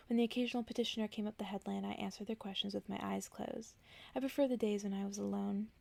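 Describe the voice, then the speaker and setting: A woman narrates a bedtime story for ASMR. Her hushed, breathy voice often slips into a whisper and is full of heavy vocal fry. She reads slowly and carefully, at an ordinary pitch for a woman.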